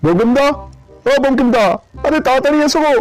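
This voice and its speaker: A high-voiced, scheming cartoon husband, acted by a man, who talks very quickly. He coaxes and flatters, repeating his words in hurried bursts, with light music underneath. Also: low-quality recording. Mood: hurried, furtive. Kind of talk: comic Bengali cartoon dialogue.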